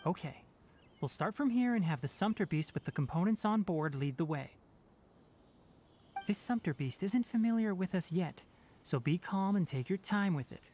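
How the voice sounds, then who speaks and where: An actor playing a calm, analytical young male forest ranger who explains his plans in a measured, matter-of-fact way in a game cutscene. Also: high voice, slight background noise. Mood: reasoned. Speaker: forest watcher.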